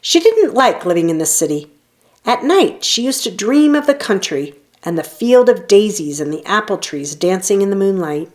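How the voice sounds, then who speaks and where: Woman reading a storybook with lively pitch. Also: moderate pace. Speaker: storybook reader. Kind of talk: children's read-aloud narration.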